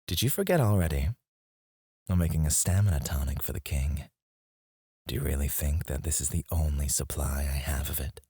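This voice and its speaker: Casting: male actor as cocky fantasy alchemist, lowish voice, sardonic, flirty and goading, close dry ASMR delivery. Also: darkish tone, no room sound. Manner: teasing, sardonic, goading with mock politeness. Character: cocky, flirty male royal alchemist taunting his rival. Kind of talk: intimate ASMR fantasy roleplay acting.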